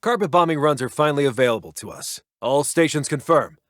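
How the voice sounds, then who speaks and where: A man acting as an American wartime intelligence officer for a strategy game. His voice sits slightly high for a man and is recorded very dry. He delivers lines in a clipped, authoritative, by-the-book military manner. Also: animated, fast, no echo, close to an everyday voice. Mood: brisk, official. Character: brisk, commanding male American WWII intelligence officer. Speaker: video game military announcer. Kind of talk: scripted war game unit voicelines.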